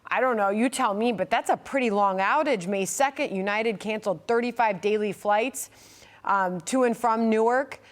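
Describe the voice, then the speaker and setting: A woman's voice: a little high, moderate pace. A woman anchoring a live news program, interviewing in a conversational style.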